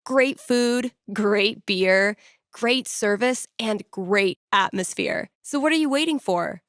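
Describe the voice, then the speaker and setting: A dry, close-recorded voice of a young woman radio DJ in a video game. She is candid and quick-witted, with a mid-range pitch. Her delivery is conversational and wryly sarcastic, like casual on-air patter. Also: a bit bright, no room sound. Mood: breezy, awkward promotion. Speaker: radio host.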